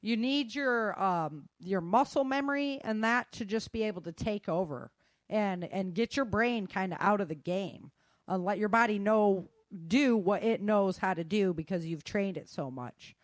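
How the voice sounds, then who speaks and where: A woman's voice: mid-range voice, moderate pace, dry recording. Frank woman giving casual advice, close dry sound. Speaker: self-defense instructor and guest.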